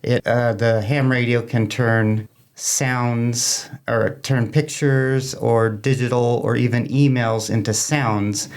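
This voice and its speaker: American male interview guest, folksy explainer, flat delivery with some vocal fry. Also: medium pitch, slow. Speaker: amateur radio club member, interview guest. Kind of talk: informal explanatory interview talk.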